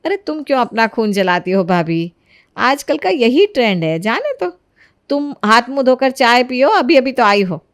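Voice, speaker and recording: A woman's voice: fairly high pitch, very animated, normal speed, voice pushed up. Woman as an indulgent, soothing mother-in-law. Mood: soothing, practical. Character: kindly, indulgent middle-aged mother-in-law, patient and soothing. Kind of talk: acted Hindi storybook narration.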